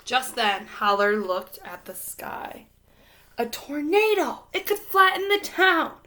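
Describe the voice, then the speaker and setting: High, bright woman reading a storybook. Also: very animated, slow pace. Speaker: storybook reader. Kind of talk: expressive children's read-aloud narration.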